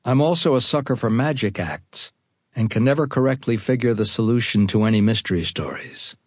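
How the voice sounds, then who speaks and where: A man's voice: medium pitch, animated, a little fast, dry recording. A man with an American accent narrating a first-person account for an audiobook, as an author reading his own writing.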